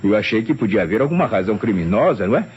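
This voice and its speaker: A man's voice: fairly low pitch, very fast, slightly rough, full character voice. An actor playing a flustered, deferential private secretary, hesitant and stammering as he testifies in an old radio mystery. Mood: nervous. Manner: hesitant, stammering, tag questions, animated storytelling. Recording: dull, noisy old radio drama recording.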